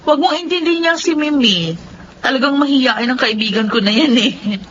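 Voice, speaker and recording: Shameless bubbly female friend, Filipino accent, high voice, dull lo-fi radio drama sound. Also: expressive, normal speed. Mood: breezy, insistent. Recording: dull, noisy lo-fi radio recording.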